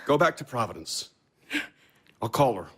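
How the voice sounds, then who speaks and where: A man's voice: medium pitch, sing-song, normal speed, fairly bright tone, slightly rough. An actor playing a blunt, cynical man in an audio drama, brusque and sarcastic. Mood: sardonic, resigned.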